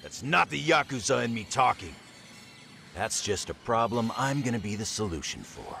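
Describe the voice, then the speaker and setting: A man playing a gruff, hot-headed, loyal ex-yakuza in an English-dubbed crime game, with a blunt, defensive manner. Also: mid-range voice, lively intonation, moderate pace, pushed and bright. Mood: defensive, heated.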